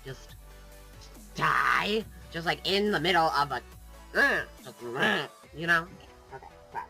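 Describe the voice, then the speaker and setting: Sniffly gamer vlogger with a cold, super bright voice, jokey and rambly, desk mic. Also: medium pitch, lively intonation, a little slow, vocal fry, faint music behind. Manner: playful, jokey, sarcastic, drifts off topic. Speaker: gaming YouTuber. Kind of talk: casual rambling vlog chat.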